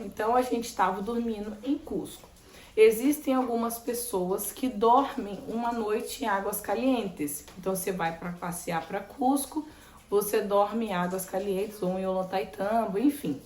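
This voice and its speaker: A woman's voice: mid-range voice, a little mellow. A Brazilian woman travel vlogger who is losing her voice from sinusitis, talking casually and explaining things step by step, often adding "né?". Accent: Brazilian Portuguese. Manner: chatty, explains step by step with many "né?". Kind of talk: casual travel vlog talk. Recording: echoey room with faint ambient noise.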